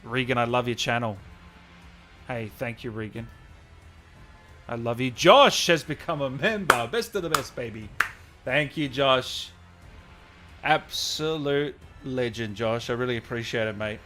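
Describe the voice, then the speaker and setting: Effusive male livestream host over music. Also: mid-range voice. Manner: effusive and hype, with drawn-out shout-outs and frequent thanks. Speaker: livestream host and channel owner. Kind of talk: casual livestream chat, reading out viewer messages. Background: music playing behind the voice.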